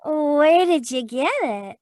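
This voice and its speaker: A woman's voice: high voice, expressive, slow, darkish tone, dry recording, clean studio sound. A woman playing a devoted, naive young detective's secretary who gushes and frets, in old-time radio comedy style. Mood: delighted, puzzled. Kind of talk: 1940s-50s radio comedy-mystery acting.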